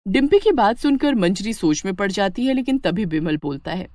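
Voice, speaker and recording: A woman narrating a scripted moral story in an expressive storytelling manner. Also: medium pitch, brisk, no echo, clean studio sound.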